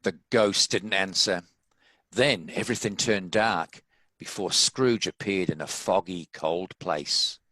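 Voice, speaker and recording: A man's voice: low-pitched, takes it slowly, pushed and bright, no room sound. A man reading scripted story narration as the narrator. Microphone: home video-call recording.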